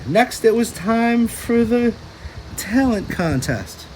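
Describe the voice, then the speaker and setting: A man reads a children's storybook in a high, very animated voice, taking his time. He is playful and chatty, dropping in casual asides, over clear background noise. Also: slow, rough recording.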